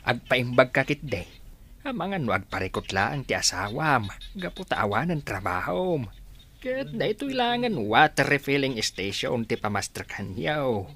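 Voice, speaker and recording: A man's voice: medium pitch, very animated, moderate pace, fairly bright tone. A man acting a blunt, concerned neighbour in a Filipino radio drama, with a Filipino accent.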